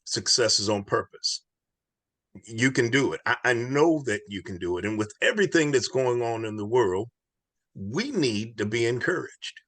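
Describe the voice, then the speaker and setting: A man's voice: mid-range voice, animated, normal speed, fairly bright tone, no room sound. A man hosting an inspirational podcast, speaking in an earnest, encouraging, preacher-like way. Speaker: podcast host.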